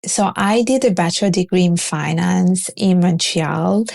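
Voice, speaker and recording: A woman's voice: on the low side, unhurried, gravelly, a little mellow. A woman in her thirties from Italy, a non-native English speaker whose first language is Italian, answering interview questions earnestly, often restarting mid-phrase. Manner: earnest, searching for words with many restarts. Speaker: guest, finance professional.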